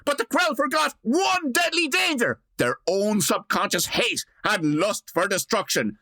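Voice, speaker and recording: A brisk, take-charge explainer, played by a woman, recites with theatrical flair in a bright, forceful voice. The pitch moves widely and the sound is dry, in a radio comedy style. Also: expressive, dry recording. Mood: dramatic, brisk.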